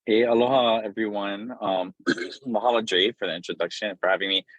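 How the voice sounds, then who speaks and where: A man from Hawaii speaking as a guest on a video call from an airport, loose and informal with many pauses. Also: lowish voice, fairly even intonation, dry recording, lo-fi sound. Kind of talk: casual talk on a video call. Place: airport, in transit.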